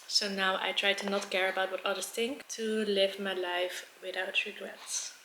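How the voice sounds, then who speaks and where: A woman's voice: mid-range voice, flat delivery, normal speed, bright, forceful tone. Woman, 20s, casual vlog host, level delivery, roomy recording with faint noise. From Netherlands. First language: Dutch. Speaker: mukbang video host. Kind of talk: casual vlog chat while eating.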